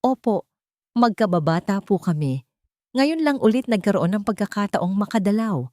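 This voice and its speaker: A woman's voice: medium pitch, animated, normal speed, warm tone, no room sound, studio quality, voice pushed up, close to an everyday voice. A woman voicing a polite, shrewd female broker in a dramatic story, courteous and direct, with a Filipino accent.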